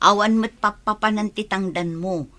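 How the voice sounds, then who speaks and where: A woman's voice: mid-range voice, fairly even intonation, normal speed, bright, forceful tone, natural voice. An actress with a Filipino accent playing a worried, exasperated middle-aged mother in a radio drama.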